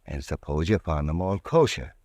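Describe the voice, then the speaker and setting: A man's voice: extremely low pitch, very animated, moderate pace, a little mellow, dry recording, clean studio sound. An actor playing a menacing gangster who bribes a clerk, speaking in slangy, cold tough-guy talk in 1940s radio acting.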